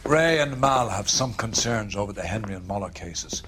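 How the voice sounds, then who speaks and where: Actor as a low-voiced, weary police captain. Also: male voice, lively intonation, normal speed, noisy background, natural voice. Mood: concerned, weary.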